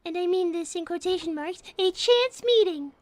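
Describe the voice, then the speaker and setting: An extremely high, childlike cartoon rabbit girl in live roleplay. She speaks primly and formally, with earnest, scheming self-righteousness that tips easily into indignation. The sound is dry and close. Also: animated, moderate pace, a little mellow, no room sound. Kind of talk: improvised live character roleplay.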